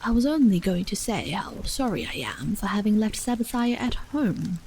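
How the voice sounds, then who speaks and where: A woman voicing a troubled, earnest, introspective man in a story, soft, solemn and hesitant. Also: mid-range voice, moderate pace, a little hiss or hum, close to an everyday voice, low-quality recording. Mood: apologetic, curious. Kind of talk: audiobook character dialogue. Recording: steady rain sound over the voice.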